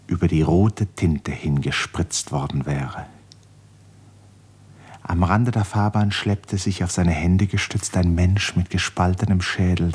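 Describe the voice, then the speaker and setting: A man narrating a 1950s German radio drama in a scripted literary style, with dramatic, measured storytelling. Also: low voice, darkish tone, slightly rough.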